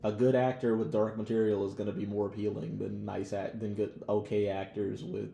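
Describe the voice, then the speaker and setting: A man's voice: on the low side, flat delivery, brisk, gravelly, dark tone, highs cut off. A young American man co-hosting a podcast, chatting casually with blunt, slangy, profane opinions. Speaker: podcast co-host. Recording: roomy echo, background noise.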